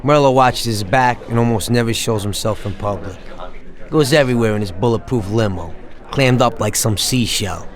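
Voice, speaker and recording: A man playing a confident gangster in a crime game. His voice is medium in pitch, and his delivery is tough, streetwise and matter-of-fact, a mobster used to giving the plan. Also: normal speed, natural voice. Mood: businesslike. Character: confident mafia hitman laying out plans, tough and brisk. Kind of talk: dramatic video game dialogue.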